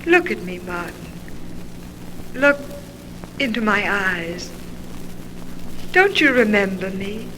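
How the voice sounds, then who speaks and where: Slow, bright-voiced ghostly seductress. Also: female voice, medium pitch, animated, slight background noise, rough recording. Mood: hypnotic, insistent. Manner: slow, deliberate, hypnotic phrasing. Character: seductive female ghost of a beautiful woman. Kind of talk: old-time radio drama acting.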